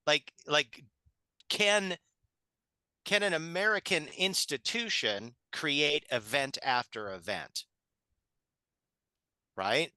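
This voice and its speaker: A man speaking in a casual panel discussion, emphatic and halting, often checking in with a questioning right. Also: on the high side, bright, forceful tone, clean tone, no room sound. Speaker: theatre panelist.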